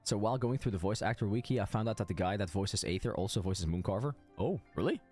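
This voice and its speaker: A man's voice: fairly low pitch, fast, darkish tone, soft background music. A man giving casual live game commentary as a streamer, with informal, jokey asides.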